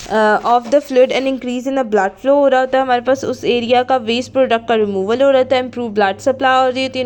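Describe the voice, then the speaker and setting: A woman teaching in an explanatory lecture style, reading out notes and then rephrasing them, mixing in English terms and often checking in. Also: sits high. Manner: code-switches between Hindi and English terms; repeats and rephrases points, checking with "okay". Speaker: teacher of physiotherapy students.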